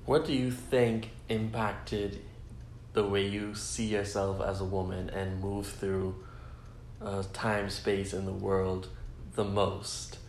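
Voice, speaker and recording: Male host, lowish flat delivery, measured questions, faint background hiss. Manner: measured, asks long questions. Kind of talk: podcast interview. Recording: echoey room, faint ambience.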